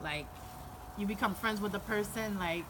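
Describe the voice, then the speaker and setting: Woman in her thirties, casual and reflective, mid-pitched, clear background noise. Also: normal speed. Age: 30s. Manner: reflective, filler-heavy with repeated "like". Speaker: guest. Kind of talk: casual group discussion. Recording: noisy background.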